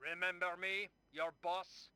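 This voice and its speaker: A man playing a gruff, bossy newspaper boss in an adventure game. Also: sits high, level tone, unhurried, pushed and bright, a little hiss or hum, heavily acted. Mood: impatient.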